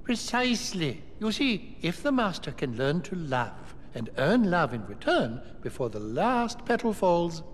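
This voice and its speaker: Fussy pompous clock butler, high animated voice, echoey hall. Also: male voice, measured pace, few pauses. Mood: formal. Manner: pompous, precise. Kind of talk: cartoon-style game acting. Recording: reverberant hall, faint background noise.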